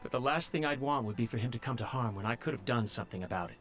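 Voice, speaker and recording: A man's voice: mid-range voice, lively intonation, rapid-fire, fairly bright tone, faint music behind, natural voice. An actor playing a nervous young male servant who stammers and speaks humbly. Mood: worried.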